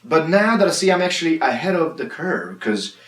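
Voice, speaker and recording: A man in his thirties from Serbia, a guest DJ talking casually in an interview, halting with fillers and restarts. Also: fairly high pitch, sing-song, fairly bright tone. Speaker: guest DJ and producer. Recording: roomy, mic at a distance.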